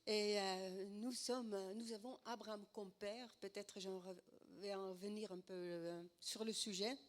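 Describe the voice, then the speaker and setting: A middle-aged Dutch woman with a sore throat giving a church talk and testimony in a hesitant, halting way. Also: medium pitch, steady tone. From Netherlands. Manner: hesitant, many fillers and restarts. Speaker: guest speaker.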